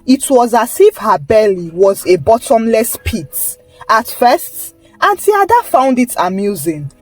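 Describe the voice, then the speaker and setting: A woman's voice: fairly high pitch, sing-song, unhurried, no echo, faint music behind. A woman storyteller reading a scripted folktale narration.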